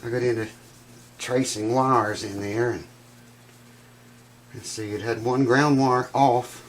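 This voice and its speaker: A man's voice: mid-range voice, fairly even intonation, takes it slowly, slight background noise. A man hosting a guitar repair vlog in his workshop, talking in a folksy, enthusiastic way and sounding congested with a stuffed-up nose. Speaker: guitar repair channel host.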